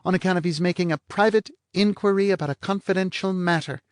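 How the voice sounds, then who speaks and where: A man's voice: high voice, fast, no echo, clean studio sound, pitched above the performer's own voice, natural voice. A man voicing a languid, teasing blonde woman with a lazy, sardonic drawl. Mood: mocking.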